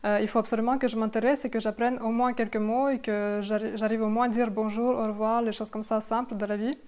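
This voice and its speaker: Clear, higher-voiced woman vlogger. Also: little pitch movement, fast talker, a little mellow. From grew up outside France, moved there later. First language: not French; learned it after moving to France. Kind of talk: casual chat to viewers about expat life.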